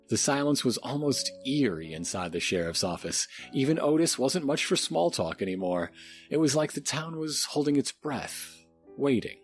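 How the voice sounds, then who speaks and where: A man reading scripted story narration as the narrator of a comic audio drama. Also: medium pitch. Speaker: guest narrator.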